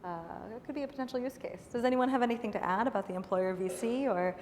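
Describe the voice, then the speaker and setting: A woman moderating a conference panel Q&A, brisk and facilitating. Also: fairly high pitch, a little mellow, slight background noise. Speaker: session moderator. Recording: reverberant conference hall with faint ambience.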